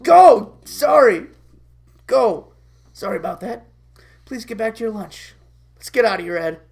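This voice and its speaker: A woman's voice: medium pitch, animated, unhurried, creaky, pitched above the performer's own voice. A woman voicing a hot-tempered cartoon boy who blusters and stammers urgently.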